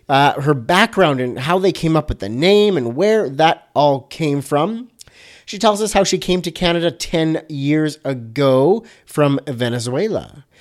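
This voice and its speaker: A male podcast host who talks in a playful, jokey way and likes quirky asides. His voice sits a little high for a man. He keeps things upbeat and casual as he introduces his guest.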